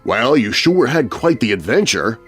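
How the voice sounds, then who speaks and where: A relieved, fatherly figure, played by a male narrator in a children's storybook, gently admonishing his boy. His mid-pitched voice moves expressively, with faint music underneath. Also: sing-song, moderate pace, breathy edge. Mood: gently scolding.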